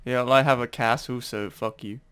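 A man's voice: mid-range voice, fairly even intonation, normal speed, fairly bright tone, smooth. A young man co-hosting a gaming video, chatting casually in a brash, teasing, sweary way. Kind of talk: casual gameplay chat.

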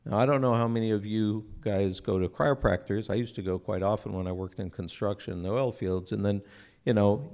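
A man in his forties, raised in Israel, giving an earnest, unhurried talk into a stand microphone on a church stage, often restarting his phrases. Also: on the low side, darkish tone. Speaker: speaker at a church breakfast. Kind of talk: sermon-like talk to an audience.

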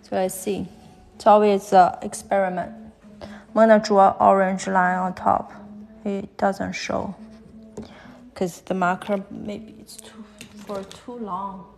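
A woman with a Chinese accent, whose first language is Chinese, talks haltingly while she draws fashion illustrations on a live stream. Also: mid-range voice, flat delivery, creaky, a little mellow. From China. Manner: halting, thinking aloud while drawing. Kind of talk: live-stream drawing commentary. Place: at a desk, drawing on paper.

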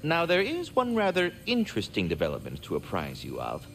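A man's voice: fairly low pitch, wildly swooping pitch, a little fast, fairly bright tone, slight background noise, exaggerated voice. A man voicing a courteous, fretful, erudite magical squirrel in a video game, speaking in formal, wordy, theatrical phrases. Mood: warm, grateful. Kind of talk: video game character acting.

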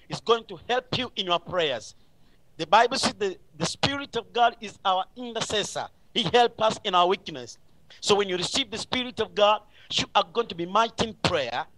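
High-pitched, bright-voiced man preaching. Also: poor audio. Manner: emphatic, repetitive exhortation. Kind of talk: live radio sermon.

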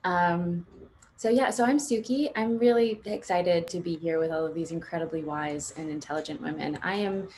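Woman, thirties, slightly low pitch, informal storytelling, halting, reflective, video-call audio, faint background noise. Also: darkish tone. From born and raised in Morocco until seven, then United States. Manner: halting, reflective, frequent "like" and "sort of". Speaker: panelist sharing personal testimony. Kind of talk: informal personal storytelling on a panel. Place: indoors at home on a video call. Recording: noisy video-call audio with room ambience.

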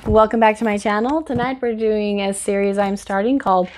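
A woman's voice: medium pitch, steady tone, fairly quick, darkish tone, a little hiss or hum. A woman in her thirties chatting casually to camera as a host, telling a story with wry, sarcastic, giggly asides. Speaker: host of a book channel. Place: small indoor room at home, by a bookshelf. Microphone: lapel mic.

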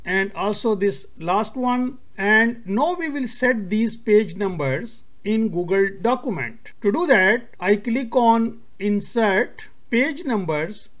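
A man whose first language is Urdu, hosting a screen-recorded tutorial and explaining each step in a steady, methodical way. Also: high-pitched, slow pace, few pauses. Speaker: tutorial host.

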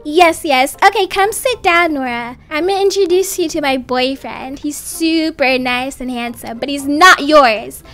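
A woman's voice: sits high, measured pace, hardly any pauses, a bit bright, pitched below the performer's own voice. A young woman voicing a bossy, possessive popular schoolgirl in a game story, snappy and condescending. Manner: snappy, condescending teen slang.

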